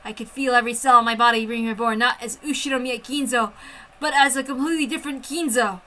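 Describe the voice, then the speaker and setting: Woman reading a dark story out loud, kinda high n really bright voice, dramatic and intense. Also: fast. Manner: expressive, intense. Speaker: amateur story reader. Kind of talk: dramatic first-person story narration.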